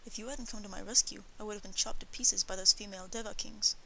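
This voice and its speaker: A woman's voice: mid-range voice, fairly even intonation, quick pace, vocal fry, sharp tone, slight background noise, low-quality recording. Woman reading as a wry, understated samurai man. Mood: rueful amusement. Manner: dry, understated, polite. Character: wry, courteous wandering samurai man in worn clothes. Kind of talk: audiobook character voice.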